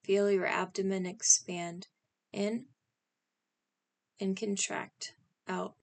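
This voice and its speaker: Woman, guided meditation, calm instruction, unhurried drawn-out pacing, gravelly fry, brief separated phrases. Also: medium pitch.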